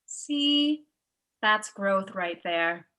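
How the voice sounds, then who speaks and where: A woman's voice: medium pitch, lively intonation, extremely slow, bright, forceful tone, exaggerated voice. A woman acting as a bossy, exasperated young woman in a comedy table read, stern and no-nonsense. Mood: satisfied.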